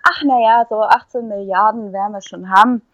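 A young woman from Saxony, talking with only a trace of her Saxon accent left. She chats casually and hesitantly, filling pauses with "ähm". The recording is dry and a little dull. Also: mid-range voice, steady tone, a bit bright, no room sound. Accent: faint Saxon. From Erzgebirge, Saxony. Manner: chatty, hesitant with fillers. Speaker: interview guest. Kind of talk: casual podcast chat.

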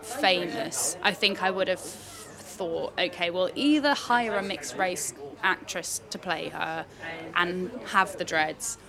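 Woman, lowish voice, chatty film review, pub crowd chatter behind. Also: takes it slowly. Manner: chatty, animated, many restarts. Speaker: podcast co-host. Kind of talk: casual film review chat.

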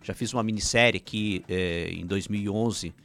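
A man's voice: fairly low pitch, moderate pace, creaky, fairly bright tone, faint music behind. Chatty man with Ukrainian roots. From Paraná, Brazil. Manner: rambling, frequent restarts and "né?" tags. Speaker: filmmaker guest. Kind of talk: casual interview chat.

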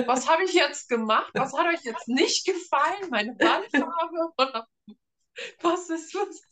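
A woman's voice: sits high, animated, measured pace, fairly bright tone. Self-deprecating woman chatting casually. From northeastern Germany. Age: 30s. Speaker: author being interviewed. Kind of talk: casual interview chat.

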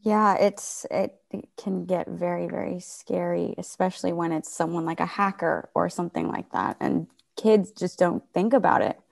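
Creaky-voiced woman interviewing. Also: lowish voice, fairly even intonation, normal speed, hardly any pauses, a little mellow. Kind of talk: conversational interview.

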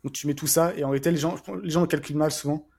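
A man talks quickly on a casual podcast, his voice a little husky and fairly high. He rambles, restarts often and fills gaps with fillers. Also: fairly even intonation, a little mellow. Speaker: podcast co-host. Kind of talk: casual chat.

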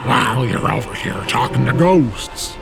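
A man's voice: mid-range voice, animated, a little slow, vocal fry. A man playing an ominous, knowing, patient ghostly guide in a comedic Christmas audio drama. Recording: loud music under the voice.

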